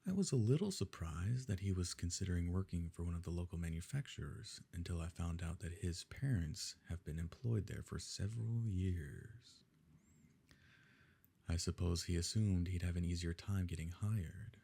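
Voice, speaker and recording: A man playing a smug, wealthy evil nobleman in an intimate ASMR roleplay, suave and condescending, with a gravelly, husky voice. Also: sits low, lively intonation, normal speed, dark tone, heavily acted. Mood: sly, self-satisfied. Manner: suave, condescending, leisurely formal phrasing.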